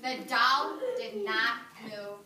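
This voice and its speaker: A woman kindergarten teacher reading a story aloud to her class, animated, praising and asking the children questions. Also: on the high side, takes it slowly, slight background noise. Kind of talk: read-aloud to young children. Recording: echoey classroom, mic far off.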